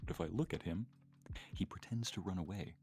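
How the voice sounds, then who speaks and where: A man's voice: low-pitched, fairly quick, darkish tone, faint music behind. A man chatting casually as a guest voice actor on a livestream.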